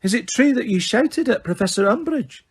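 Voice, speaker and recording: A brisk, stern older schoolteacher, voiced by a man reading aloud. She snaps short questions in a clipped way. The voice sits a little high with a husky grain. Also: animated. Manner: brisk and snapping.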